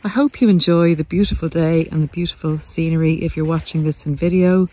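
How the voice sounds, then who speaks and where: Higher-pitched man talking earnestly outdoors. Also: steady tone, fairly quick, dark tone, low-quality recording. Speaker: podcast host and narcissistic abuse coach. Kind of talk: reflective spoken monologue to listeners. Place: outdoors in the countryside, walking. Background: country sounds.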